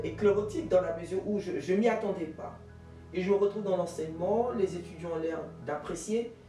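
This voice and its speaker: A woman in her forties from Ivory Coast, a guest telling her career story in an animated way, with many fillers and restarts. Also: mid-range voice, roomy sound, recorded from a distance, poor audio. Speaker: guest, jurist and teacher. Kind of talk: interview answers.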